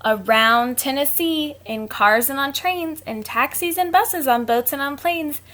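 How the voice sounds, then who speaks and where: A woman's voice: sits high, measured pace, a little hiss or hum. A woman reading picture books aloud to children like a teacher, expressively, with simple casual asides. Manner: expressive, simple explaining to young listeners.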